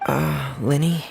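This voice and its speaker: Quiet teenage boy, shy, halting delivery, low register, strong vocal fry, video game dialogue. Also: slight background noise, full character voice. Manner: hesitant, timid. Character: shy, quiet teenage boy diver, hesitant younger brother.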